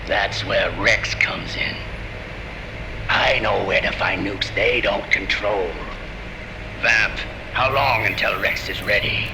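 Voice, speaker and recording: Slow, commanding villain leader. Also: male voice. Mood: confident scheming. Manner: deliberate, imperious, menacing. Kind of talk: dramatic video game cutscene acting. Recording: noisy rumbling ambience.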